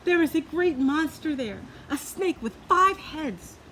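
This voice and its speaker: A proud young village woman from a storybook, acted out by a woman reader, pleads in a high, hysterical wail. She sounds frightened and frantic. There is clear noise behind her. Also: lively intonation, a little slow, fairly bright tone. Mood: hysterical pleading. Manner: pleading, wailing. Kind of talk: storybook character voice. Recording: clearly noisy background.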